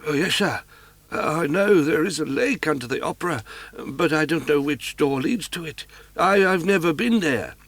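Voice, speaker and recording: A man voicing a timid, flustered female doorkeeper who speaks high and apologetically. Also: lively intonation, moderate pace, a bit bright, no echo.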